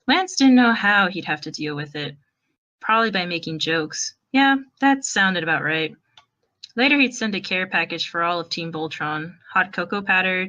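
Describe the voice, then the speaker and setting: A woman's voice: on the low side, pushed and bright. A woman reading fan fiction aloud as an amateur narrator, in a wry, informal storytelling manner.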